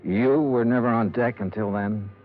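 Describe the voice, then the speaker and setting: An American actor playing a terse, skeptical, world-weary insurance investigator in a 1950s radio detective drama. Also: male voice, mid-range voice, normal speed, lightly acted. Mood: stern. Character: hard-boiled, skeptical male insurance investigator. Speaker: lead radio actor and narrator. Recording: old radio recording, narrow band, hissy.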